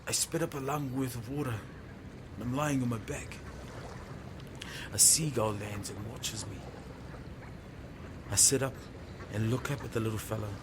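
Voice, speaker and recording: A man's voice: medium pitch, moderate pace, pauses often, noisy background, lightly acted. A man playing a time-travelling fisherman who tells his story in the first person, theatrical and anguished, in an audio drama. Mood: subdued, resolute. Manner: theatrical, swings from breathy murmur to anguished shouting.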